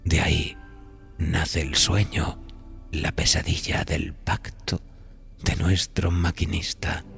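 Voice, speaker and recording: A man narrating a scripted horror podcast in a solemn, literary, deliberate storytelling manner. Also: deep voice, little pitch movement, vocal fry, fairly bright tone. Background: music bed.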